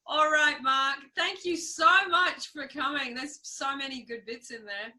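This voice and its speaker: A woman hosting a casual interview, chatting in an animated, encouraging and agreeable way. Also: high voice, measured pace. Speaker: interviewer, host.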